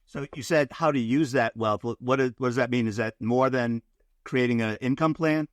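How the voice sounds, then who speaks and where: A man hosts a podcast interview and asks his questions in a loose, conversational way. His voice sits in a middle pitch and sounds close and dry, with no room around it. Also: normal speed, dry recording. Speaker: podcast host.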